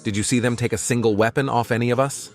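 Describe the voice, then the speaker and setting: A man playing a calm, stubborn priest who captains a starship in an audio drama, speaking in terse, firm lines. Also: medium pitch, fast, natural voice. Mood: quietly analytical. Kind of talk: fan audio drama acting.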